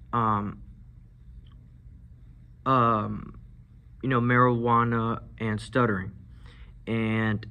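A young man who stutters, with blocked and repeated sounds and lots of fillers, speaks slowly and softly in a casual vlog. His voice is bright, and he holds a small mic close in an indoor classroom. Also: medium pitch. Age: young adult. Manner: soft-spoken, frequent fillers. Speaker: vlogger. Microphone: handheld lavalier mic held near mouth.

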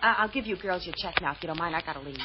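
Actress as a quick-talking friendly waitress. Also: female voice, lowish voice, close to an everyday voice. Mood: sympathetic, chatty. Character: friendly, sympathetic coffee shop waitress. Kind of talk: old-time radio drama acting. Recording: dull, noisy old radio recording.

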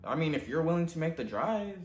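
A man's voice: on the high side, very animated, brisk, over music. A young man with an American accent playing an awkward student in a casual comedy roleplay, slangy and hesitant. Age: young adult. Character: naive, awkward male student. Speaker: host of a parody channel. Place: indoors in a living room. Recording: roomy, echoey indoor sound.

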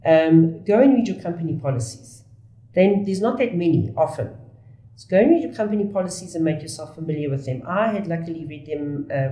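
Earnest South African woman, low and mellow. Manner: earnest, restarts often, speeds up and slows down. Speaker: guest, whistleblower. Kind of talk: candid conversational interview. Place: small studio room. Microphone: studio desk mic. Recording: roomy studio echo.

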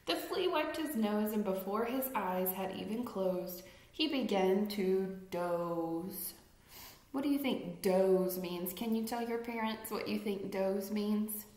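Slow, deliberate woman reading a storybook. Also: medium pitch, darkish tone. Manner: deliberate, emphasizes rhymes, asks young listeners questions. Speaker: read-aloud storyteller. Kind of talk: expressive children's picture-book read-aloud. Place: indoor room at home with fireplace mantel. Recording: echoey room.

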